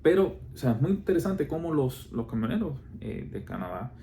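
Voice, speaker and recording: A man with a Cuban Spanish accent talks in a relaxed, mellow voice, explaining his views in casual talk. He circles back often, stumbling over fillers, false starts and rhetorical questions. Faint background noise sits under the voice. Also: a little high, fairly quick, gravelly. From Cuba. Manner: hesitant, many fillers and restarts, rhetorical questions.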